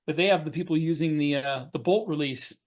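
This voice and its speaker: Higher-voiced man chatting on a podcast. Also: a little fast. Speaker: podcast co-host. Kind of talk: casual podcast discussion.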